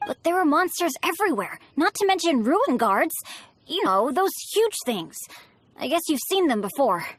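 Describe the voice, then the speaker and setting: A woman voicing an earnest, excitable teenage boy adventurer in a video game, boastful yet kind, with a boyishly dramatic delivery. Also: sits high, normal speed, dry recording, clean studio sound. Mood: boastful storytelling.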